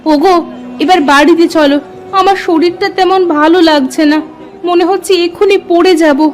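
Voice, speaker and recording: A woman voicing a farmer's sharp-tongued wife in a cartoon folk tale, with a dramatic delivery. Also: extremely high pitch, steady tone, moderate pace, a faint crowd. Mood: weak, worried. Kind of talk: cartoon story character acting.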